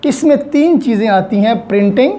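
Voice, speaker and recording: A man's voice: very high voice, lively intonation, normal speed, warm tone. A man with a Pakistani accent giving an explanatory law lecture, posing questions and answering them, with English terms mixed in. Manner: mixes in English terms and phrases.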